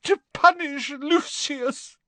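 A man's voice: very high voice, slow, a bit bright, dry recording, pitched above the performer's own voice, heavily acted. A man voicing a sobbing, desperate mother. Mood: pleading. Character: distraught pleading mother, middle-aged woman. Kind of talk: audiobook character reading.

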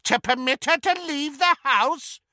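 A man voicing a spiteful, gossiping old witch with a shrill, cackling manner. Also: a little slow, pushed and bright, no room sound, clean studio sound, heavily acted. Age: older. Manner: cackling, needling, high-pitched.

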